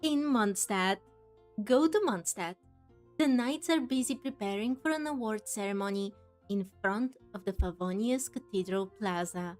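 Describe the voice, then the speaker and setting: A woman's voice: mid-range voice, moderate pace, a little mellow. A woman giving casual commentary as she plays through a game, chatty and musing aloud, with game music behind. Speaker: gaming streamer. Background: faint game music.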